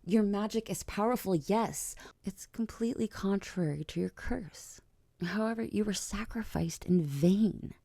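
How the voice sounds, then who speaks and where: Woman acting as composed, mellow vampire queen. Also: medium pitch, unhurried, creaky, no room sound. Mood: measured, serious. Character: regal, teasing vampire queen, composed older-cousin type. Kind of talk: ASMR audio roleplay acting.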